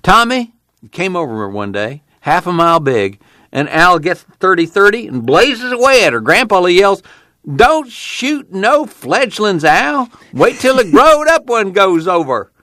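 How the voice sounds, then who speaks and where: A man voicing a gleeful, folksy farm father from the in an audiobook, excitable and tumbling over his words. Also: high voice, slow pace, no echo, clean studio sound, voice pushed up, exaggerated voice. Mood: gleeful, teasing. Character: gleeful rustic farm father, chuckling storyteller. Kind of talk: audiobook character acting.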